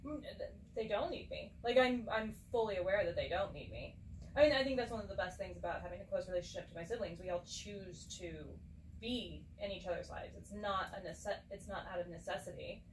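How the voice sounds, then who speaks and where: A woman's voice: medium pitch, expressive. Podcast co-host: earnest woman in her thirties, heard from off-mic in a roomy space with faint hiss. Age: 30s. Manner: reflective, earnest, quick to laugh. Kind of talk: casual chat. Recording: roomy and distant with faint background noise.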